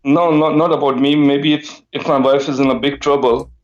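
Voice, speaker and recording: A man's voice: mid-range voice, fairly even intonation, moderate pace. A man calling in to a live debate show, hesitant and full of restarts. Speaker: caller. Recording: muffled phone-in line.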